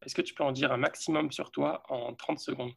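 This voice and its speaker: A man's voice: medium pitch, fairly even intonation, brisk, creaky, fairly bright tone, breathy edge. A man hosting a podcast, asking his guest questions in a friendly, encouraging way. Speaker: podcast host. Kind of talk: interviewing a guest.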